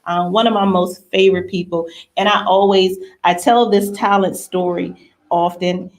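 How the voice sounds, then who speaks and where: A woman's voice: lowish voice, steady tone, normal speed. Woman hosting a bawdy, casual talk show. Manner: playful, slangy, informal. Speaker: radio talk show host.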